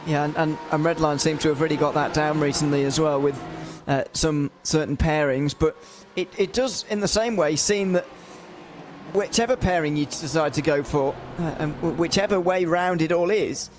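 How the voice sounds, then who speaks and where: A man's voice: a little high, normal speed, over music. A man giving race analysis as co-commentator, hesitant with many fillers and repeated words.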